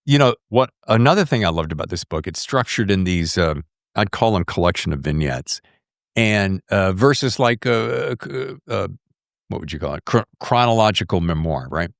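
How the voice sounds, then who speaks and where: Low-voiced male podcast host. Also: expressive, moderate pace, creaky, no room sound, clean studio sound. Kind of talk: casual conversational interview.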